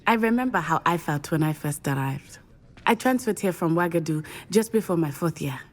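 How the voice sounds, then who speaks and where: A woman's voice: deep voice, lively intonation, fairly quick. An actress playing a friendly, confident teenage witch from Uganda in a video game, with an East African accent and an encouraging manner. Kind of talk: video game character acting.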